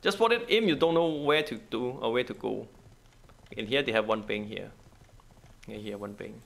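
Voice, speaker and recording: A man who is not a native English speaker, giving a casual gameplay walkthrough in halting, repetitive short phrases. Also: medium pitch, gravelly, a bit bright. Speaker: gaming guide host.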